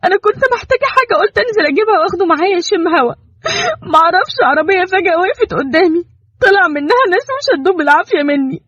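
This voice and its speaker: A woman's voice: very animated, racing, no room sound. A woman doing a sobbing young Egyptian mother. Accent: Egyptian Arabic. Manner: high, crying, breaking voice. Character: young mother, anxious, tearful, pleading wife. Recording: dull, muffled, dry recording.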